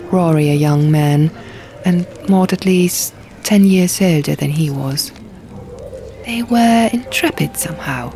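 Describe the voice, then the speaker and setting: A woman's voice: deep voice, dark tone. A woman reading a fantasy novel aloud as scripted, dramatic audiobook narration. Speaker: audiobook reader. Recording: music behind the reading. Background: music and sound effects.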